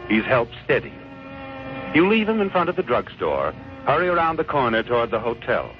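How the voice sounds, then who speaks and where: An actor playing the ominous narrator of an old radio crime thriller, speaking deliberately and with suspense in a 1940s style. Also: male voice, medium pitch, very animated, natural voice. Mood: suspenseful. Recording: muffled old radio recording with music. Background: music.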